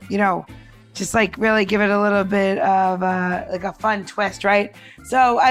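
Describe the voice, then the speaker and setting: A woman's voice: mid-range voice, fairly bright tone. A woman podcast host talking casually and informally in an upbeat way, peppered with "like" and "you know". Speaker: podcast co-host presenting cocktail recipes. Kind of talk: casual chatty recipe walkthrough.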